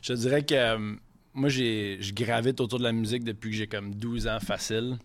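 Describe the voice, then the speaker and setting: A man's voice: fairly low pitch, steady tone, fairly quick. French-Canadian man rambling in franglais. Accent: Quebec French. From Quebec City. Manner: rambling franglais with frequent swearing. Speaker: guest chef. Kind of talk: casual podcast interview.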